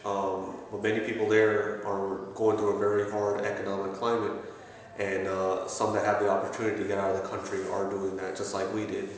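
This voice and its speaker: A man in his thirties from Pakistan, answering interview questions with many pauses and restarts. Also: on the low side, monotone, far from the mic. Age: 30s. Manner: hesitant, many fillers and restarts. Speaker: interviewee, assistant store manager. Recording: echoey room, voice from afar, faint noise.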